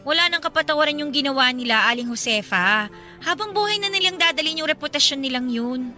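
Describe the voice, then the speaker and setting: A cheeky young Filipina in a radio drama. Her voice is high and piercingly bright, and she talks back in a pert, sarcastic way. Soft music runs behind her. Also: expressive, fast talker, full character voice. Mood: bitter. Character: sassy, food-loving young woman, cheeky and grudge-holding. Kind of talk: Tagalog radio drama acting.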